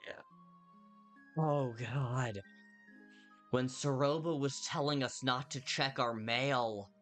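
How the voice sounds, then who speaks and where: Young guy streaming a game, chatty and a bit tired, dry mouth, mid pitch, faint music behind. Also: steady tone, slow, fairly bright tone. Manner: chatty, reads chat aloud, mock-exasperated. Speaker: streamer playing a video game. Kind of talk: casual livestream chat.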